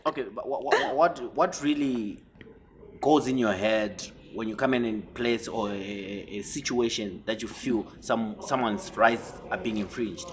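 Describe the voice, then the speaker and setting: A man's voice: medium pitch, a little slow. A man hosting a talk show, asking questions with frequent restarts and light teasing. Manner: hesitant, repeats words, teasing. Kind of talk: interview questions.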